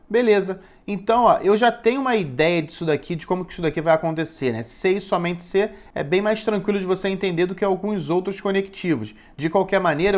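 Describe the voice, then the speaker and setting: A man teaching a recorded logic lesson, patient and encouraging, often pausing to check in with his students. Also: high-pitched. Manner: encouraging, informal classroom talk.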